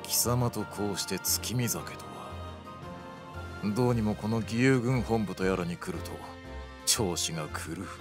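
男性が演じる 尊大で無愛想な武将. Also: male voice, on the low side, a little slow, over music, close to an everyday voice. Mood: aloof. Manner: haughty, curt, archaic phrasing. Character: proud, gruff, aloof dark warlord. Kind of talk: game radio drama acting.

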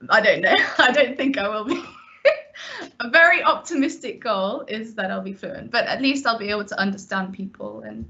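A woman's voice: on the high side, expressive, moderate pace, sharp tone. Young woman student, chatty, hesitant, laughs a lot, muffled call audio. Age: young adult. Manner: rambling, hesitant, laughs often. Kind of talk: casual chat on a video call. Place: bedroom at home. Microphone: laptop webcam on a video call. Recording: dull lo-fi video call audio.

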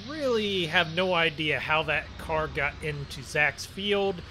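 Higher-pitched man narrating over engine noise. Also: animated, a little slow. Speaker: gaming video host. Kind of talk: casual farming game roleplay commentary. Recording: clear vehicle engine noise behind. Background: game vehicle engine sounds.

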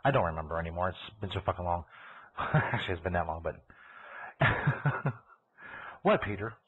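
A man's voice: sits low, animated, normal speed, husky. A man with a Kentucky accent hosting a live internet radio show, rambling in casual, profane chat and laughing as he goes. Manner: profane, laughing, talks over himself. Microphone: computer mic over a call line. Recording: tinny phone-like internet broadcast.

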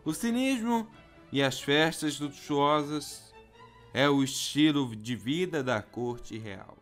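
A Brazilian man hosts a casual commentary show in a slightly high voice. His talk is informal and slangy, like narration for friends. Music plays behind him. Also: lively intonation, takes it slowly. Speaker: host of a manga commentary show.

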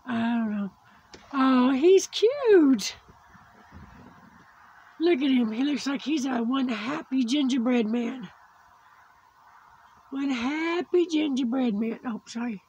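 High-voiced woman losing her voice. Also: animated, a little mellow, lo-fi sound, a little hiss or hum. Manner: slow, chatty, cooing over small crafts. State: losing her voice after surgery. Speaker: crafting channel host. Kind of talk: casual unboxing chat. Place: indoors at a tabletop craft setup.

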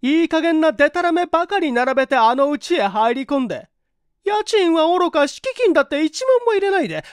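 A scornful landlady in her fifties, acted by a woman for a storybook reading. She is high and shrill, scolding in indignant bursts with big swoops of pitch. Her temper is short and her manner biting. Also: a little mellow, no room sound, studio quality. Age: 50s. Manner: snapping, scornful tirade.

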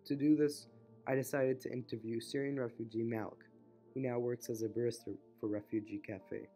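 A man's voice: medium pitch, fairly even intonation, a little fast, mellow. A teenage male student narrating a documentary in an earnest, read-aloud voice. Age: teens. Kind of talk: scripted narration. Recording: voice-over over background music.

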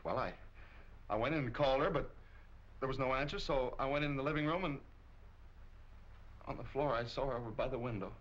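An actor playing an agitated, stammering young murder suspect in a 1940s melodrama film. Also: male voice, mid-range voice, a little fast, long pauses, close to an everyday voice. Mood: shaken, halting. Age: young adult. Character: rattled, defensive young male murder suspect. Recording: muffled, hissy 1940 film soundtrack.

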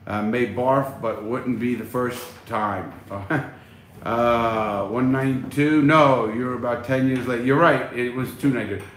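Blunt middle-aged man in echoey room. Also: mid-range voice. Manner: loose, blunt, swears casually. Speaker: livestream host reading chat. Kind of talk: casual livestream chat. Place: small room at home, indoors. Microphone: phone at arm's length. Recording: echoey room, faint noise.

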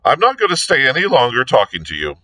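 A man's voice: mid-range voice, expressive, unhurried, bright, forceful tone, exaggerated voice. A man voicing a stubborn, harassed police inspector who grumbles morosely and scornfully. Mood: harassed, irritated.

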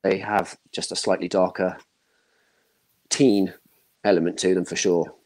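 A man's voice: low-pitched, level tone, measured pace, a little mellow. A man in his thirties chatting casually as a panel guest, giving opinions in short broken bursts. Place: home room, indoors, video call.

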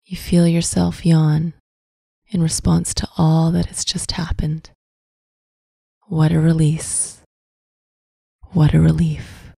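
A woman narrating a scripted guided visualization in a soft, breathy, soothing voice, with deliberate phrasing and long pauses. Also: deep voice, flat delivery, no room sound. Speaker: guided meditation host.

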